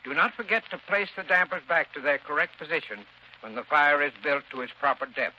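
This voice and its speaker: A man giving scripted 1930s radio advice as a sponsor's expert, formal, instructional and clearly enunciated. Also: fairly high pitch, brisk. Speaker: heating expert in a sponsor spot. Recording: muffled 1930s radio broadcast, faint noise.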